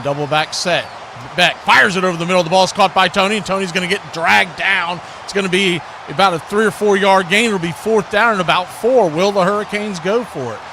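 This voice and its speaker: A man calling live football play-by-play for a radio broadcast. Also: on the high side. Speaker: play-by-play announcer. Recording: clear background noise.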